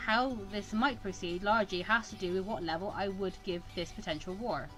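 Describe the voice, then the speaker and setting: A woman voicing a stern, condescending male mentor in a visual novel, measured and dry as he instructs a student. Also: mid-range voice, fairly bright tone, faint music behind, lightly acted, low-quality recording. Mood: measured, instructive.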